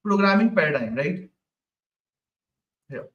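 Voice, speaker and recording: A man's voice: high-pitched, expressive, unhurried, lo-fi sound. A man teaching a live online programming class, asking the class questions over and over and ending his sentences with "right?". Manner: repeats questions to the class, ends lines with "right?". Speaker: programming instructor. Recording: echoey room, mic at a distance.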